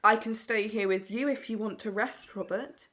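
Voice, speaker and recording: A woman's voice: medium pitch, moderate pace, fairly bright tone, a little hiss or hum, natural voice. A woman playing a caring farmer's wife and mother in an amateur audio drama. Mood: gentle.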